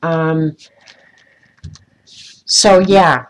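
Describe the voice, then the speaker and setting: A woman's voice: sits low, steady tone, drawn-out words. A woman with a stuffy, congested voice chatting casually to viewers on a live craft stream, rambling with many ums. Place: indoors at a craft desk.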